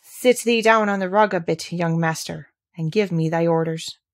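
Storybook role: blunt old Yorkshire gardener, male, dry and crabbed, soft-hearted underneath, played by a woman. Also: lowish voice, moderate pace, lightly acted. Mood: dry exultation.

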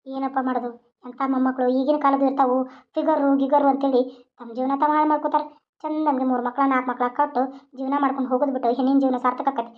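A woman's voice: high-pitched, flat delivery, fast, phone-quality sound, pitched below the performer's own voice, natural voice. A woman voicing a blunt, boastful old grandmother who lectures in a rustic way with a North Karnataka accent. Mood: grumbling.

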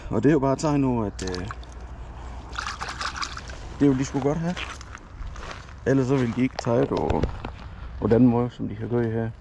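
A man's voice: medium pitch, vocal fry, pauses often, highs cut off, noisy background. A man narrating his own fishing trip in a chatty, rambling way, recorded on a head-mounted camera outdoors at a lakeside. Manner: chatty, self-correcting, rambling. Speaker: fishing vlogger. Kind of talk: casual fishing vlog narration. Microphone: head-mounted action camera.

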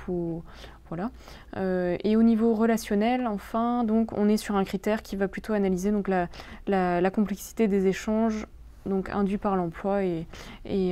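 A young adult woman, explaining slides in a webinar in a hesitant, halting way. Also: mid-range voice, warm tone, clear, resonant tone. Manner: hesitant, halting delivery with frequent pauses. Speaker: presenter explaining labour agreement changes. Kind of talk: webinar slide walkthrough, semi-improvised explanation.

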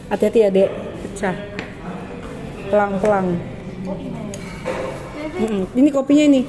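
A woman's voice: mid-range voice, slow, long pauses, a crowd in the background. A woman filming a live stream with her phone in a café, chatting playfully and dropping in English words. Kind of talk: casual live-stream chat. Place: indoor café. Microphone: phone held by the filmer. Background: loud background music.

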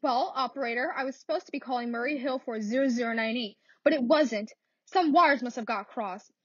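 A woman acting a high-pitched, anxious, demanding wife. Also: fairly quick, a bit bright, slightly rough, no room sound, lo-fi sound. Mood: horrified, insistent. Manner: nervous, insistent, melodramatic. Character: anxious, demanding invalid wife alone at home. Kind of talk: old-time radio thriller acting.

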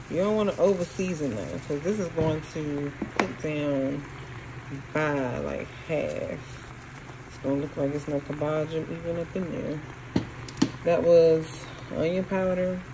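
A woman talks through her cooking in a home kitchen. She chats casually, half to herself and half to her viewers. Steady background noise runs under her voice. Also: low-pitched. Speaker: home cook vlogger.

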